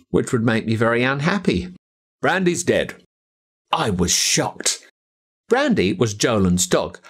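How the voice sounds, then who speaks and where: An Australian man narrates a storybook as dramatic first-person recollection. His mid-pitched voice moves up and down in a lively way, with an expressive, suspenseful delivery. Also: husky. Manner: expressive, suspenseful storytelling as a boy recalling events. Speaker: audiobook narrator.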